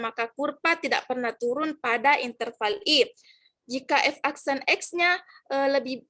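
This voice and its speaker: High-voiced young woman presenting slides hesitantly. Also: a bit bright. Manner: hesitant, many "eh" fillers and restarts. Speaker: student presenter. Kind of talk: reading slides in a class presentation.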